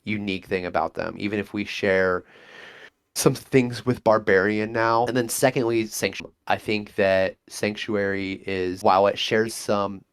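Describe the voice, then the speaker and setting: A man's voice: fairly low pitch, fairly even intonation, normal speed, smooth. A man guesting on a casual interview, rambling enthusiastically and acting out little exchanges. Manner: enthusiastic, rambling, acts out quotes. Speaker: guest, monk class player.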